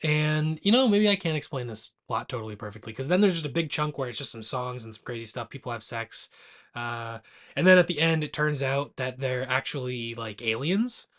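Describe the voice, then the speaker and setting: A man's voice: mid-range voice, animated, a little fast, a bit bright. Man with a dry, teasing conversational voice. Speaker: podcast co-host. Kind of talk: casual movie chat.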